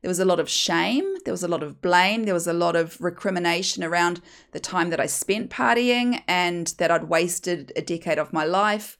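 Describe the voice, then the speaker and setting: A woman's voice: lowish voice, normal speed, hardly any pauses, fairly bright tone. A woman in her forties from New Zealand with a New Zealand accent, hosting a podcast and telling her own story in a candid, chatty, self-mocking way. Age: 40s. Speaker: podcast host, hypnotherapist. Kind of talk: personal storytelling monologue.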